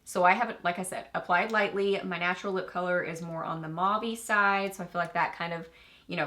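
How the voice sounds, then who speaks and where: Woman, Texan accent, beauty vlogger, casual chat, excitable, rambling. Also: fairly low pitch. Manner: bubbly, chatty, easily excited, with rambling asides. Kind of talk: casual makeup review.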